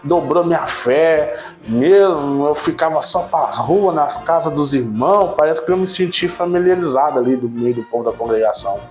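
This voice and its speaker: A man in his thirties giving a casual personal church testimony, animated at times and subdued at others, often tagging his sentences with a questioning "né". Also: on the high side, moderate pace, hardly any pauses, faint music behind. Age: 30s. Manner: animated storytelling, frequent "né?" tags, swings between excited and subdued.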